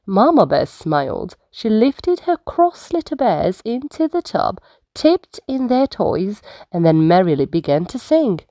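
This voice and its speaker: A woman reading bedtime stories aloud to young children in an expressive, unhurried storytelling manner. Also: medium pitch, dry recording, clean studio sound.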